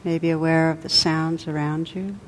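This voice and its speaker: Soft-spoken woman guiding a meditation. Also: sits low, flat delivery, a little hiss or hum, rough recording. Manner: soft, unhurried, with long pauses. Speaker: meditation teacher. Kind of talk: guided meditation.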